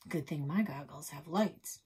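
A woman voicing a boastful boy treasure hunter with a quick, offhand delivery. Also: sits low, dark tone, slightly rough, heavily acted. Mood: cocky.

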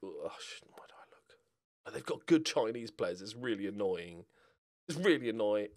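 A man's voice: lowish voice, expressive, moderate pace, a little mellow. A middle-aged man giving casual, rambling commentary on a game, with a wry, self-mocking, jokey manner. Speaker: gaming video host.

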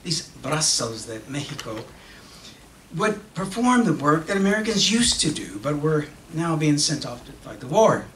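A man's voice: on the high side, sing-song, fairly bright tone. Distant, echoey man acting as an earnest magazine publisher. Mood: earnest, proud. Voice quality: bilingual, slipping between English and Spanish. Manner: deliberate, halting delivery in short phrases with pauses. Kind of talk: dramatic reading of a monologue on stage. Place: stage of an auditorium. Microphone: stand mic at lectern, recorded by distant camera. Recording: distant, echoey auditorium with background noise.

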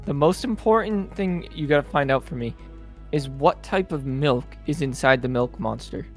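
A man's voice: fairly high pitch, moderate pace, faint music behind, close to an everyday voice. A man voicing a blunt, sarcastic schoolboy sidekick in comedy dialogue, casual and dry. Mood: insistent.

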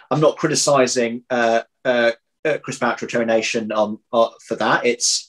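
A man's voice: mid-range voice, low-quality recording. A man in his thirties chatting casually as an interview guest on a video call, hesitant, often restarting and repeating words as he thinks aloud. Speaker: interview guest, audio drama writer. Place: home room, indoors. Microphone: computer video call.

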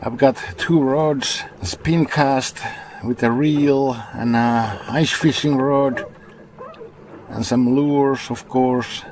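A man's voice: medium pitch, fairly even intonation, slow pace, a little mellow, a little hiss or hum. A middle-aged man narrating a fishing vlog casually, in an understated, dryly humorous way. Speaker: fishing vlogger.

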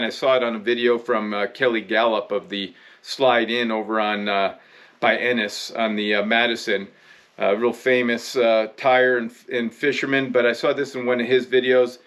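An American man in his fifties talks casually to camera as a presenter of how-to videos. His voice sits at a medium pitch. He explains things conversationally, with a halting, unscripted delivery. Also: fairly bright tone. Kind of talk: casual instructional vlog talk. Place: indoor room with rod racks.

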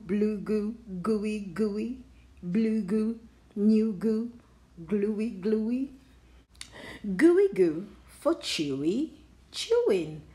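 Female storybook reader, very animated, medium pitch, rhythmic rhyming read-aloud with expressive articulation.

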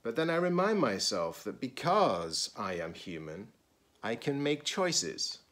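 A middle-aged man, a teacher-librarian, reading a children's picture book aloud deliberately, in short phrases with pauses. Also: medium pitch, sing-song, fairly bright tone.

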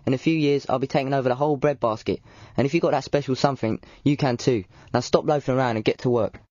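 An actor playing a cocky, ambitious gangster in a video game, with a London accent. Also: male voice, mid-range voice, a little mellow, dry recording, lightly acted. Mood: smug, scheming. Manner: cocky, brisk. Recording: dull, dry sound.

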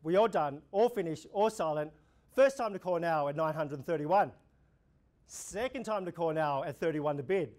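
A young man with an Australian accent, an auctioneer running a practice auction, calling bids in a steady repeating rhythm. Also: on the high side, moderate pace. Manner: rhythmic, repetitive bid calling, gestures while talking. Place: indoor room before a projection screen.

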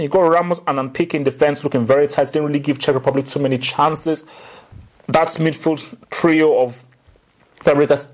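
A young man giving a blunt, opinionated football match reaction from a desk in a small room. He talks emphatically, gestures a lot, and repeats his points. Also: a little high. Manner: gestures animatedly while talking. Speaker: football pundit vlogger.

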